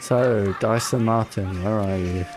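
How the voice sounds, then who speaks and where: A man's voice: lowish voice, measured pace, background noise. A man giving casual playthrough commentary as a game streamer, muttering as he thinks aloud.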